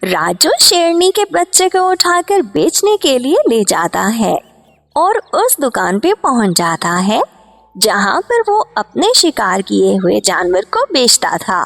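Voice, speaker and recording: A woman's voice: a little high, big pitch swings, slow, vocal fry, fairly bright tone. A woman reading scripted narration for a cartoon story, as the storyteller.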